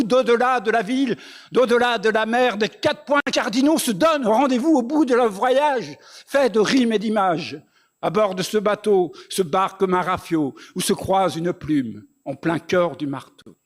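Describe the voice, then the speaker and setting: High-pitched man reciting slam poetry. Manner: lyrical, rhythmic delivery with refrains. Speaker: slam poet. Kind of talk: performed spoken-word slam poetry recital.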